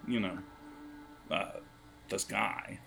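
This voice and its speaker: A man improvising tabletop game narration as game master, in a halting, wordy, descriptive manner. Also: on the low side, fairly even intonation, gravelly, pushed and bright, husky, faint music behind.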